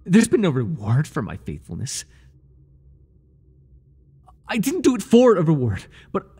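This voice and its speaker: A man performs an obsessive high priest devoted to his god in an intimate audio roleplay. His voice is very breathy and rough, with lively, swooping intonation. He speaks in lofty, servile phrases, stammering and pleading with jealous devotion. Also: measured pace, exaggerated voice. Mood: defiant, desperate. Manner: servile and pleading, stammering, grandiose formal speech. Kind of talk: ASMR roleplay monologue, dramatic acting.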